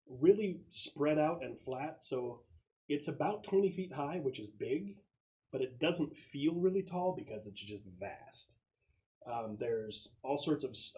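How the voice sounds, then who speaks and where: A man working as game master, improvising narration in a halting, casual and sometimes profane way. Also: medium pitch, darkish tone, poor audio.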